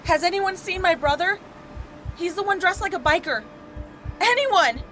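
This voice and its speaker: A woman's voice: very high-pitched, expressive, normal speed, fairly bright tone, soft background music, voice pushed up. A woman voicing a frantic, frightened young storybook character who calls out urgently in a tight voice. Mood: panicked, desperate. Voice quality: tight with fear. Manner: urgent, panicked calling out. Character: frantic, frightened young person searching for little brother.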